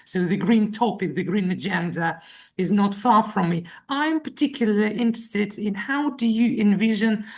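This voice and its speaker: A woman's voice: mid-range voice, normal speed, darkish tone. Polite young woman. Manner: formal, polite. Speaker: audience member asking a question.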